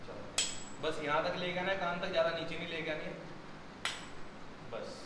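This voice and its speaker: A man's voice: a little high, fairly even intonation, normal speed, heavy background noise. A young man coaching a gym workout on camera, giving instructions and encouraging praise to a trainee. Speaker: gym trainer and fitness channel host. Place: gym, indoors. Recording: echoey gym room, loud background ambience.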